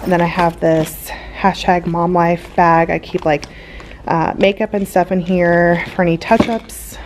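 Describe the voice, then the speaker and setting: A woman's voice: lowish voice, little pitch movement, a little slow, slight background noise. A young woman, an elementary school teacher, chatting casually to her camera in her classroom. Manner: chatty, filler-heavy, upbeat. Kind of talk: casual vlog chat. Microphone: handheld camera.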